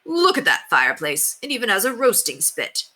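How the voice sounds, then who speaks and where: A woman's voice: medium pitch, wildly swooping pitch, moderate pace, pushed and bright, exaggerated voice. A woman voicing a mild, curious middle-aged father with a casual, matter-of-fact manner.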